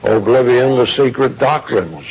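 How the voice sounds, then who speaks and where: A man delivering a formal esoteric lecture in a solemn, deliberate, grandiloquent manner. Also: mid-range voice, animated, vocal fry, darkish tone, slight background noise, rough recording.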